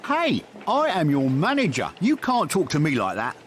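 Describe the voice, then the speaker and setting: A man's voice: fairly high pitch, huge pitch swings, moderate pace, fairly bright tone, slight background noise. An actor playing a bossy, melodramatic male ward manager in an audio drama, mock-offended and theatrical. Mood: petulant.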